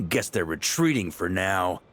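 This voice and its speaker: Animated, confident knight commander. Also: male voice, low voice, measured pace, a little hiss or hum, full character voice. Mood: measured, strategic. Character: hearty, seasoned male knight commander. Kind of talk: video game voice acting.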